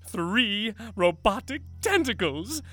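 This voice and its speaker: A woman's voice: medium pitch, sing-song, takes it slowly, a bit bright, a little hiss or hum. A woman playing a flamboyant, devoted mad scientist husband in an audio drama, with grandiose, melodramatic delivery. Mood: excited, doting.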